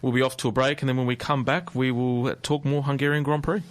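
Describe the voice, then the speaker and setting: A man, co-hosting a casual motorsport chat in a radio studio, animated and quick to laugh. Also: medium pitch, faint music behind. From Australia. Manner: chatty, laughs easily, animated. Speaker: co-host.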